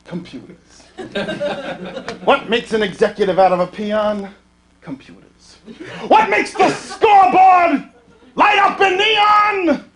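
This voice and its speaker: A man's voice: extremely high pitch, lively intonation, takes it slowly, long pauses, roomy sound. A man delivering a comic musical party skit in a theatrical, declaiming way. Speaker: amateur party performer. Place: private home at a party. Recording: home video with loud musical accompaniment.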